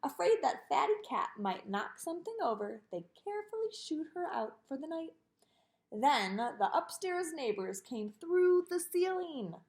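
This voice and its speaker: A high-voiced woman reads a children's picture book aloud. Her delivery is expressive and swoops widely up and down, turning sing-song on lists and refrains. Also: measured pace. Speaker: storybook reader.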